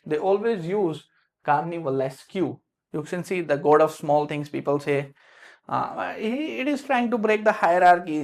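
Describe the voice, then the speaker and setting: A male literature teacher speaks English with an Indian accent shaped by years in South India. His voice sits fairly high, with a gravelly, fry-touched texture. He explains concepts conversationally, full of fillers and self-corrections. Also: a little mellow. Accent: Indian English with South Indian influence. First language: Hindi. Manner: explains in loose spoken English with many fillers and restarts. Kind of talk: explanatory lecture.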